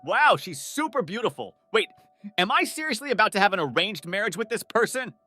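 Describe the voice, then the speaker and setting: A man playing a nervous, earnest, lovesick twenty-four-year-old in an animated comic dub, narrating his own self-doubting thoughts. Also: high voice, fairly quick, long reverb tail, full character voice. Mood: flustered. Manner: earnest, self-doubting inner monologue with startled outbursts. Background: background music.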